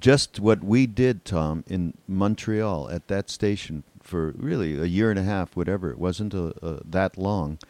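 A man's voice: sits low, a little slow, few pauses, darkish tone, dry recording. An older man hosting a podcast in casual, conversational reminiscence. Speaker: podcast host.

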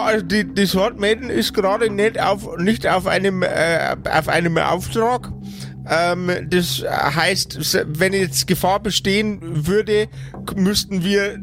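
A man playing an officious, stammering supervisor on a superhero agency hotline, speaking formally and haltingly. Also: high voice, steady tone. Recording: music behind the voice.

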